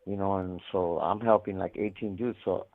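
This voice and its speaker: A man's voice: low-pitched, steady tone, moderate pace. A man telling stories casually over a muffled phone line, rambling in slangy, profanity-heavy talk. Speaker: incarcerated caller telling his life story. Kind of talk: casual storytelling over a prison phone call. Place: prison, on a phone.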